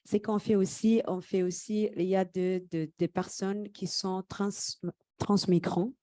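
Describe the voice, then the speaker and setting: A woman from Somalia, a native Somali speaker, giving a halting conference presentation with repeated words as she searches for phrasing. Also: medium pitch, fairly even intonation, mellow, no echo. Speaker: community worker and interpreter. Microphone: microphone.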